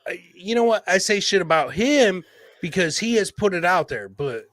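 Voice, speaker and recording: Male podcast host, American accent, slightly high voice, blunt casual talk with plenty of swearing, very little room sound. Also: animated, moderate pace, a bit bright, no room sound. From Michigan, United States. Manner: brash, blunt, swears freely. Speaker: host of a live comedy podcast. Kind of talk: casual live-stream chat.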